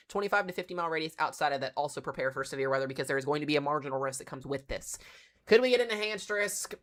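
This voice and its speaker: A man hosting a casual live weather briefing, sounding congested from strep throat, chatty and excitable with plenty of fillers. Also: on the high side. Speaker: weather livestream host.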